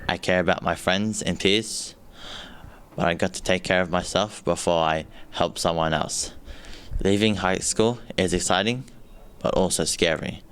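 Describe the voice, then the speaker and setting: A young man in his late teens reads a reflection in a steady, sincere way. His voice is very low and clean. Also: a little slow, clear, resonant tone. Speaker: student leaving high school. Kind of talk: reading a prepared reflection.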